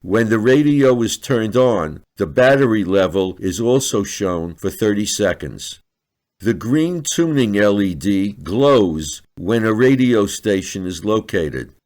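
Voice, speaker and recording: A man's voice: on the low side, expressive, takes it slowly, darkish tone. A man reading a scripted instructional product review in a methodical, step-by-step manner.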